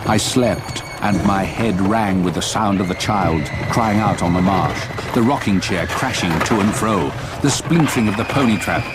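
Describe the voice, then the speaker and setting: An actor playing a haunted older man, narrating his past terrors in a radio drama with a measured, literary, brooding delivery. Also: on the low side, heavy background noise, close to an everyday voice.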